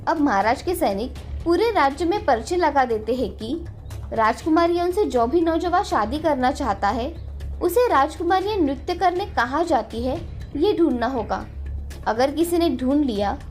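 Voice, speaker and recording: A woman's voice: sits high, a little mellow. A woman storyteller giving scripted narration of a children's fairy tale. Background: faint background music.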